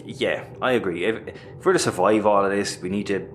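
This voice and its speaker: A man's voice: lowish voice, brisk, slight background noise, natural voice. A man with an Irish accent playing a hapless, exasperated space captain, hesitant and wry, in an audio comedy. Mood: awkward. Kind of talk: naturalistic audio comedy acting.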